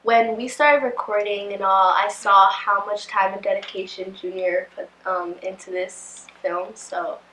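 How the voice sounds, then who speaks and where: A woman's voice: medium pitch, fairly even intonation, unhurried, few pauses, bright, forceful tone. A woman from Guatemala who acts in the film, giving short answers with a giggle. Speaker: actress in the film. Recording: roomy, distant.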